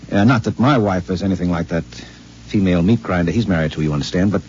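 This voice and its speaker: An actor playing a nervous, henpecked middle-aged husband who stammers and hesitates, in a 1950s radio drama. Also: male voice, sits low, quick pace, a little mellow, slight background noise, natural voice. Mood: anxious. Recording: dull old radio broadcast recording.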